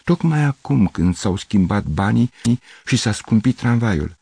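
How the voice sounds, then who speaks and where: A man voicing a flustered, tired middle-aged musician who pleads and stammers anxiously, his voice rising high in agitation. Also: animated, gravelly, mellow, slightly rough, full character voice.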